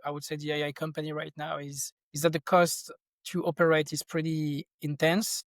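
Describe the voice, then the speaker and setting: French guy on a podcast, kinda high and monotone, restarts his sentences a lot. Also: moderate pace, no echo, studio quality. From France. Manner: thoughtful, rambling, with frequent restarts. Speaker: podcast guest, tech company founder. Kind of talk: casual interview talk.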